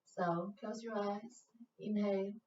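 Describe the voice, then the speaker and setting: A woman from Sweden with a Swedish accent, a native Swedish speaker, teaching a yoga class in English, speaking slowly and patiently with frequent hesitations. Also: medium pitch, steady tone, a little mellow, roomy sound, far from the mic, highs cut off, slight background noise. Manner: slow, patient, with many hesitations. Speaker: yoga instructor. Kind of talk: guided yoga class instruction.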